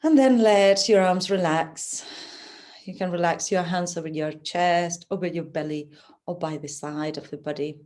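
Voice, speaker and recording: A woman teaching yoga nidra, giving gentle, soothing, unhurried guidance through a relaxation practice. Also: on the low side. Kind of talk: guided relaxation instruction.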